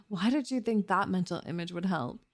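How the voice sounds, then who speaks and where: A woman's voice: mid-range voice, a little slow, dry recording, close to an everyday voice. A young elf girl, acted by a woman, goes along reluctantly as a research partner in an ASMR roleplay. She has a mid-range voice and a dry, teasing, skeptical delivery. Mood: doubtful, alarmed.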